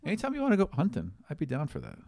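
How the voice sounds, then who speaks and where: A man's voice: a little high, huge pitch swings, rapid-fire, creaky, darkish tone, dry recording, pitched above the performer's own voice, heavily acted. A man voicing a confident, polite young female student in a tabletop game, casual and understated. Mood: friendly.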